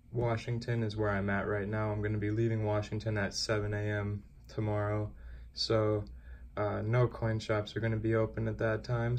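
A young man chatting casually as a coin-collecting vlogger, relaxed and rambling with filler words, filmed on a phone. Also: on the low side, creaky, slight background noise. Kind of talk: casual vlog chat. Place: car, then indoors at a desk.

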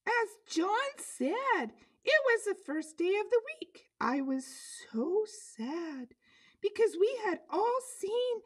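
Puppet show role: grieving, earnest female storyteller, extremely high squeaky voice, slow theatrical delivery. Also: heavily acted.

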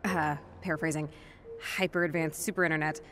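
A woman's voice: sits low, steady tone, brisk, a bit bright, a little hiss or hum. An actress playing a casual young female hero in a video game, speaking hesitantly and wryly. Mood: wry, uneasy. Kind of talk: video game cutscene acting.

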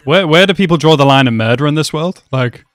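A man's voice: on the high side, lively intonation, quick pace, no room sound, soft background music. A young man casually reacting to an anime episode, chatty and swearing a lot, calling the characters bro. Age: young adult. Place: small room at home. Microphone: headset mic.

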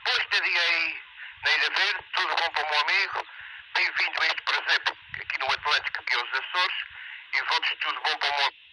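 A man chatting courteously and a little haltingly as a ham radio operator, heard through a two-way radio. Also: on the high side, steady tone, sharp tone. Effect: two-way radio transmission. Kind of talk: casual radio contact chat. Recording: noisy handheld radio speaker outdoors.

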